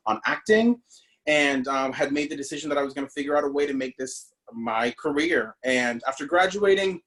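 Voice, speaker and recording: A man's voice: a little high, expressive, normal speed, fairly bright tone. Chatty man from the Bahamas. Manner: chatty, with many fillers and restarts. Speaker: stage actor guest. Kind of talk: casual interview storytelling. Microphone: video call.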